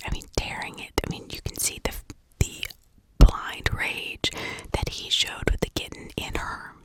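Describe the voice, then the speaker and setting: A woman whispering in a breathy, unvoiced ASMR style, telling a story informally with conversational asides. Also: measured pace. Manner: conversational, with rhetorical asides and check-ins. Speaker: ASMR true crime storyteller.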